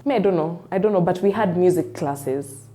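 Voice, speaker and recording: A woman with a Kenyan accent, telling stories in a casual, rambling way with lots of fillers, lively when she recalls memories. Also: on the low side, a little fast. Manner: chatty and rambling, with frequent "like" and "um". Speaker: singer-songwriter guest. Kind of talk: casual interview storytelling.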